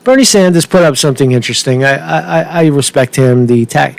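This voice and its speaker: Foul-mouthed man rambling, higher-pitched voice. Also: lively intonation, brisk, creaky. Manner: profane, slangy, repetitive and stumbling. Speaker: host of his own news commentary show. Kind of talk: casual rambling news commentary.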